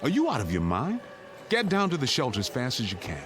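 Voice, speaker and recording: A man's voice: mid-range voice, huge pitch swings, a little fast, fairly bright tone, a little hiss or hum. An American man acting in a radio drama as an urgent, middle-aged father who takes charge and steadies his family. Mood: urgent, commanding.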